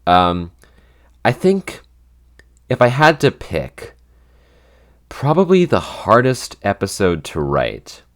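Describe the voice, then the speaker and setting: A man's voice: on the low side, wildly swooping pitch, slow pace, pauses often, fairly bright tone. A young man chatting casually as a podcast host, rambling with many fillers and restarts. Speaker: podcast host and show writer. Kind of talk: casual chat.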